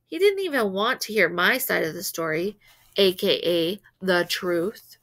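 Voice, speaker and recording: A woman reading a children's novel aloud as an expressive storyteller who acts out the dialogue. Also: on the low side, a little slow. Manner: animated, acts out characters' dialogue.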